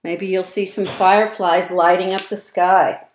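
A woman in her fifties reading a picture book aloud to young children, stressing words deliberately and expressively. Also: on the low side, slight background noise. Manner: slow, deliberate, expressive word emphasis. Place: indoor room at home, by windows. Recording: roomy, echoey webcam sound.

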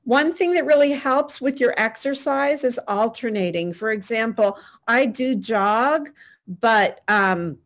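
A middle-aged woman on a video call panel, giving earnest practical advice and often pausing with "um". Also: on the high side. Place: small home room with framed pictures. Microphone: webcam on a video call.

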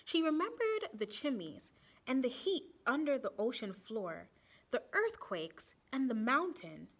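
A young woman reading a children's picture book aloud expressively, deliberately, pausing to ask and explain. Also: sits high, sing-song, a bit bright, breathy edge. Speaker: storytime reader for children. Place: small indoor room with bulletin board.

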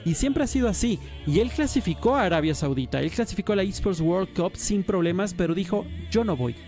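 A man hosting a casual podcast, talking in an animated, enthusiastic way with lots of slang. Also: high voice, a little fast, faint music behind. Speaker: podcast host and StarCraft caster.

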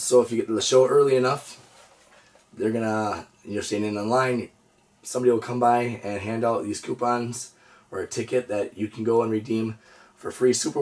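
A hoarse man in his thirties, a reptile breeder hosting his own channel, chatting easygoingly with lots of "uh" and "so". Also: mid-range voice, far from the mic. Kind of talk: casual show-and-tell vlog. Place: indoor reptile room with storage drawers.

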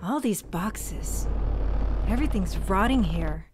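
An actress with a Mexican American accent playing a proud, vengeful young woman, intense and shifting between mutters and shouts. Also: medium pitch, animated, slow pace, noisy background. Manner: intense, swinging from muttering to yelling. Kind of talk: audio drama acting.

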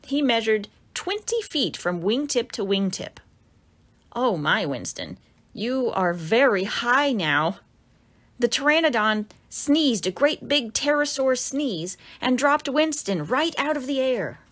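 Animated woman reading a children's storybook. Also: a little high, slow pace. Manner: animated, dramatic exclamations for young listeners. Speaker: author reading own picture book. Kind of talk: expressive children's storybook narration.

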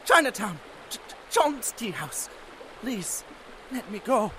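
Woman playing a terrified, high-pitched pleading informant. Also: very animated, takes it slowly, background noise. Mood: pleading, frightened. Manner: pleading, stammering. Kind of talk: audio drama acting.

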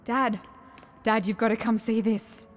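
A woman's voice: on the high side, steady tone, unhurried, background noise, natural voice. A woman playing an eager, determined young female explorer in a sci-fi audio drama. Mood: curious.

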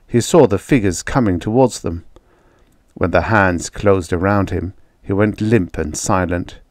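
A man with a low voice narrates an old short story in a dramatic storytelling manner. The sound is dry, without room echo, and the delivery is that of a scripted audiobook.

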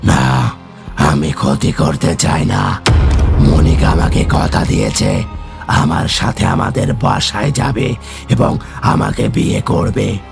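A man's voice: low voice, sing-song, creaky, over music, pitched below the performer's own voice, exaggerated voice. A man voicing a defiant, lovestruck young male jinn spirit in a horror cartoon, drawing out his words in a growl. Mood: defiant, possessive. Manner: slow, drawn-out, defiant.

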